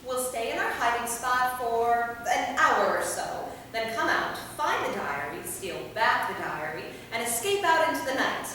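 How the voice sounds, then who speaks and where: A woman's voice: a little high, very animated, a little slow, hardly any pauses, pushed and bright, full character voice. A woman playing a determined, practical female vampire in a staged play reading, with a firm, matter-of-fact delivery. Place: theater hall, recorded from a distance. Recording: very echoey hall, far mic, noisy.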